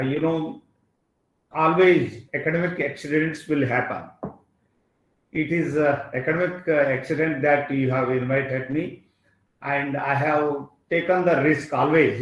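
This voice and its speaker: A man with an Indian English accent, whose first language is Kannada, giving a formal academic address as a guest speaker. He speaks haltingly and fills his pauses with "uh". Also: fairly high pitch, dull audio. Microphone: online video call. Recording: roomy echo.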